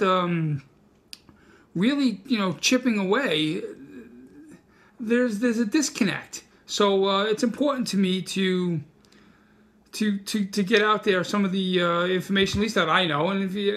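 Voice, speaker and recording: A man with a New York accent from Brooklyn co-hosts a relaxed martial arts chat. His voice sits high for a man, and he talks loosely, with quick self-deprecating jokes. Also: vocal fry. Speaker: co-host, martial arts teacher. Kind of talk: casual podcast chat.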